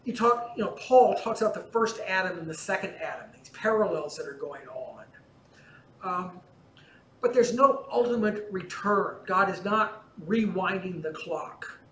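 An older man giving a relaxed, conversational class in a large church, explaining and gesturing as he talks, picked up by a camera from some distance. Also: sits high, unhurried. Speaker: teacher giving a parish class. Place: large church interior in front of icons. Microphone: far camera. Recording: roomy church with faint hum.

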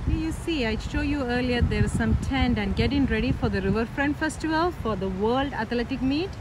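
A woman's voice: fairly high pitch, brisk. A woman in her forties giving a casual guided tour of a riverside bike path as a travel vlogger, chatting to viewers while cycling. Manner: chatty, pointing out sights to viewers. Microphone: phone camera held at arm's length. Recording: loud wind and outdoor noise.

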